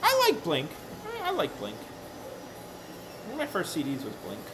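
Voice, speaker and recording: A man's voice: fairly high pitch, huge pitch swings, moderate pace, noisy background. A man in his thirties chatting casually with his live stream while working at a crafting table, rambling and often correcting himself. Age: 30s. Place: workshop room with a worktable.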